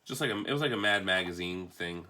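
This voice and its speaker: Bright-voiced man, offhand and casual. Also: low-pitched, animated, fast, a little hiss or hum. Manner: offhand, rambling, mildly profane. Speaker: comic book collector reviewing a book. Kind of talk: casual unscripted video review.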